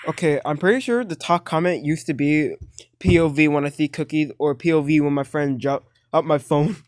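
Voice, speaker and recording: A man's voice: a little high, a little fast, a little hiss or hum. Slangy, exclamatory man reacting. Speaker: reaction video host. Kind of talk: casual reaction commentary.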